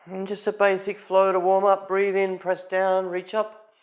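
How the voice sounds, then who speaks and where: A man leading a guided yoga class as instructor, giving short, calm, unhurried spoken cues. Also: high voice, a little hiss or hum.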